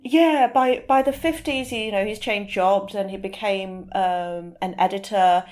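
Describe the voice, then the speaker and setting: A woman speaking as an interview guest, explaining things informally and sometimes pausing to search for the right word. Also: mid-range voice, a little mellow.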